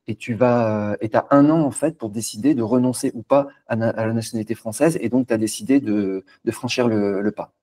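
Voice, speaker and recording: Mellow-voiced male interviewer. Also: medium pitch, a little fast, hardly any pauses. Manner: hesitant, stammering questions. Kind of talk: casual interview questions.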